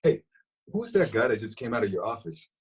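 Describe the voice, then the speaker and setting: A man playing a cocky, flippant, crude young man in a comedic script read over a video call, with a husky voice. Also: fairly low pitch, very animated, fairly quick, heavily acted. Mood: teasing. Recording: dull lo-fi video-call audio.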